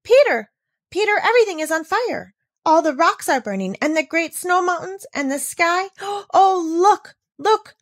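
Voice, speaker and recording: A woman voicing an eager, warm-hearted little girl with bright, breathless exclaiming. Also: very high-pitched, animated, unhurried, no echo, voice pushed up. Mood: awestruck.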